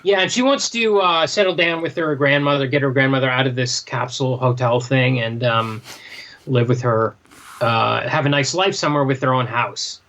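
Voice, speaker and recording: A man's voice: medium pitch, normal speed, fairly bright tone. A man co-hosting a casual book discussion, hesitant and often repeating himself, on slightly dull lo-fi audio. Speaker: podcast co-host.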